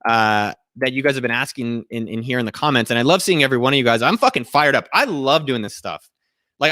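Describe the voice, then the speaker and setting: Energetic man hyping up his viewers. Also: on the high side, no room sound, clean studio sound. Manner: energetic, profane, pep-talk delivery. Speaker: host answering viewer questions. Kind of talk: casual live-stream Q&A. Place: small indoor room at home.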